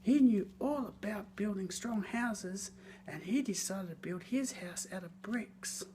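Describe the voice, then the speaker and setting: A woman reading a scripted children's story in a theatrical, expressive way, lingering on words. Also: medium pitch, unhurried, a little hiss or hum.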